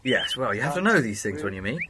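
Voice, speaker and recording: A man playing an eccentric, blustering time traveler, theatrical and quick to bluff. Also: mid-range voice, very animated, natural voice. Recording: noisy amateur recording.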